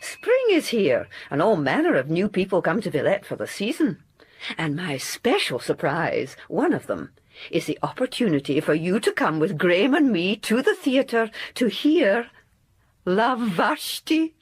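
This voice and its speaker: A woman's voice: mid-range voice, sing-song, unhurried, breathy edge. An actress playing a fond, proud middle-aged English mother in a period audio drama, genteel and theatrical. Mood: fond, brisk. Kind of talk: period radio drama acting.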